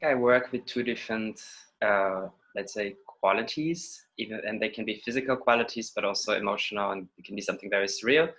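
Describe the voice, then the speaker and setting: A man's voice: fairly low pitch, a little fast, a bit bright, low-quality recording. A man from Israel with an Israeli accent, a choreographer and dancer answering interview questions in an introspective way, searching for his words. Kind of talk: reflective interview talk.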